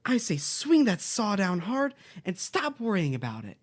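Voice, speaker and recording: A woman's voice: mid-range voice, wildly swooping pitch, normal speed. A woman playing a cheerful, bossy children's-show host in an audio drama, giving instructions in an upbeat, reassuring way. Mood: firm, persuasive. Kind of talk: audio drama, parody of educational kids' show.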